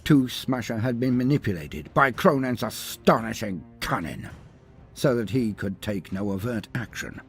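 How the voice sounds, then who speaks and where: A man's voice: fairly low pitch, animated, normal speed, faint music behind. A man reading scripted fantasy storytelling in a theatrical, grandiloquent manner with wry asides. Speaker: lore video narrator. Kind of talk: dramatic scripted story narration.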